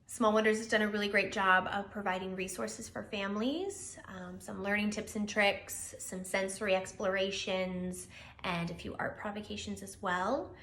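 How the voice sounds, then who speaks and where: A woman's voice: mid-range voice, moderate pace. A woman preschool teacher speaking expressively as she leads a children's story time. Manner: expressive, animated delivery. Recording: roomy, echoey room.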